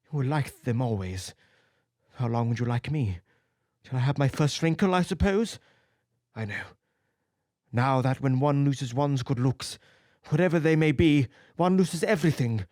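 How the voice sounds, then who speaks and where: A man's voice: mid-range voice, animated, a little slow, darkish tone, dry recording, clean studio sound, voice pushed up, close to an everyday voice. A man reading an audiobook, voicing a vain, anguished young man who pleads and rises to emotional outbursts.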